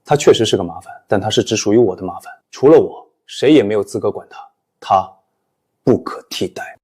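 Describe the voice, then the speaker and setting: A man playing a controlled, possessive young boss in love in an animated romance drama, speaking gravely and earnestly. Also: fairly low pitch, a little fast, dry recording. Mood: possessive, firm.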